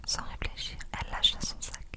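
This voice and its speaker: A woman reading a novel aloud in a soft, whispered ASMR style. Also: a little fast. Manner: soft unvoiced whispering throughout. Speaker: ASMR reader.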